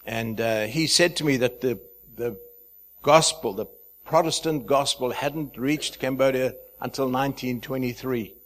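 A man in his fifties from Zimbabwe, a pastor speaking to a church, chatty and halting, with many fillers and restarts. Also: mid-range voice, a bit bright. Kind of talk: sermon introduction.

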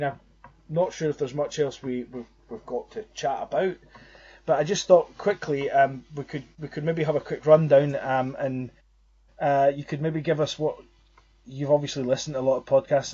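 A man's voice: fairly high pitch, dark tone, husky. A young man co-hosting a podcast, talking in an earnest, rambling way. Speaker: podcast co-host. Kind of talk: casual podcast chat. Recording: slightly muffled lo-fi audio.

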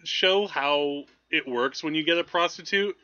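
A man's voice: fairly high pitch, a little slow, bright, forceful tone, muffled recording. A man talking through films casually as a podcast host, in a rambling, conversational way. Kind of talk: casual film discussion.

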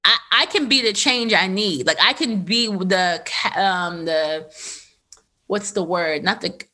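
A woman in her thirties, a podcast host, telling a candid personal story in a loose, animated way with lots of fillers. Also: medium pitch, unhurried. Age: 30s. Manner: animated, filler-heavy, laughs often. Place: Los Angeles area.

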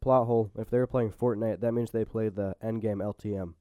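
A man's voice: lowish voice, fairly even intonation, a little fast, warm tone, dry recording, clean studio sound. A young man co-hosting a podcast, chatting casually about a film. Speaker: podcast co-host. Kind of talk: casual movie review chat.

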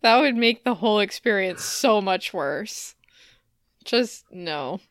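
A woman's voice: medium pitch, expressive, takes it slowly, fairly bright tone, no room sound, clean studio sound. A woman co-hosting a podcast in casual banter, with a giggly, playful manner. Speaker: podcast co-host.

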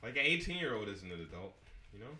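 A man's voice: fairly low pitch, sing-song, quick pace, pushed and bright, roomy sound, rough recording. A man chatting loosely with friends on a casual podcast, joking and projecting his voice. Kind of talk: casual chat among friends.

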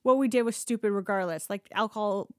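A woman's voice: medium pitch, fast, no room sound, studio quality. A Canadian woman telling stories casually on a podcast, candid and sweary, often acting out dialogue as she goes. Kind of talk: casual conversational storytelling.

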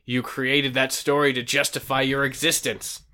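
Mocking villainous demon, read by a male podcaster: mid-pitched, sneering, relishing every line. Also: little pitch movement, normal speed, pushed and bright, smooth, lightly acted. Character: smug sinister male demon, mocking and gleeful.

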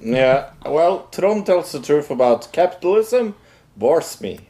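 Podcast co-host, slow, lively pitch, teasing. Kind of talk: casual chat.

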